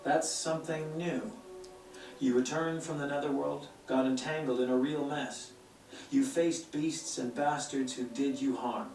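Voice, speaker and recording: An actor playing a theatrical, eloquent bard in a video game, speaking with a storyteller's dramatic, wry phrasing. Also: male voice, medium pitch, steady tone, unhurried, a little mellow, lightly acted. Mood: wry, probing. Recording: roomy game playback, distant, music underneath.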